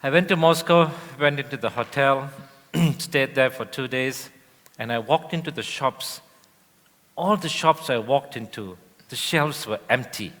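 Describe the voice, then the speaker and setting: A man from Indonesia, a guest speaker telling his life story on stage in a relaxed way with frequent fillers. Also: medium pitch, measured pace. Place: large event hall on stage. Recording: long hall reverb.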